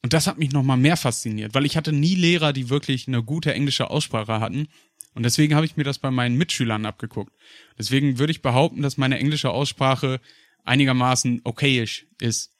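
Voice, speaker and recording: A man's voice: mid-range voice, fairly quick, dry recording, clean studio sound. A young German man co-hosting a podcast, telling rambling personal stories in casual chat, with fillers and frequent laughs. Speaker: podcast co-host.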